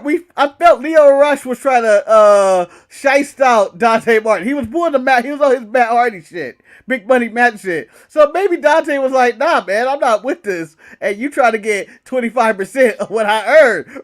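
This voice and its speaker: A man co-hosting a wrestling podcast, giving blunt, emphatic opinions in slangy casual chat with some swearing. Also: very high-pitched.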